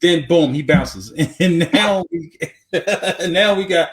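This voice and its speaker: A man co-hosting a podcast in casual conversation, talking haltingly with repeats and frequent check-in phrases to the listener. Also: a little high. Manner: halting, repetitive, peppered with "you know what I'm saying". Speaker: podcast co-host. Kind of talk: casual chat about movies.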